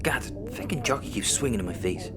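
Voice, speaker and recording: Animated, exasperated Irish space captain. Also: male voice, mid-range voice, a little fast, roomy sound, over music. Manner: exasperated, sardonic. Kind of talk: sci-fi audio comedy acting.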